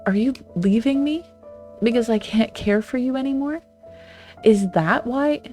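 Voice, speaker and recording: A woman's voice: measured pace, a little mellow, faint music behind, close to an everyday voice. A woman voicing a confused, pleading husband who does not know he has died. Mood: bewildered pleading. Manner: bewildered, pleading, voice raised higher. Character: confused ghostly husband, middle-aged man, pleading.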